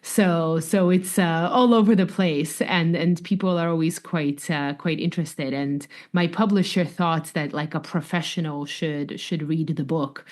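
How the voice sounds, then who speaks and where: Russian-New York-accented woman, low voice. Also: steady tone, measured pace, few pauses, darkish tone. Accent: mix of Russian, New York, French and London. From Russia, raised in New York. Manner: reflective, with fillers and light laughs. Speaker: author guest. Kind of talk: podcast interview.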